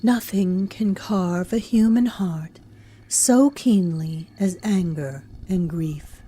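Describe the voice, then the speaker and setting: A woman narrating a horror audio drama in a solemn, hushed, deliberate and dramatic storytelling manner. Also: on the low side, husky.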